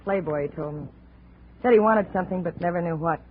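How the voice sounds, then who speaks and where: A woman's voice: fairly low pitch, fairly quick, natural voice. A muffled old radio recording with faint noise carries a woman acting as an obsessive, lovelorn woman of the tropics. She delivers her lines with insistent, defiant drama in the manner of vintage radio plays. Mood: yearning.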